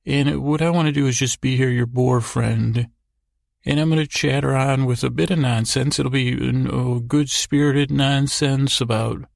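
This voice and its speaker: A man hosting a podcast in a halting, stammering, meandering improvised bedtime monologue, repeating words as he goes. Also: mid-range voice, steady tone, gravelly, dry recording, studio quality. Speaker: podcast host.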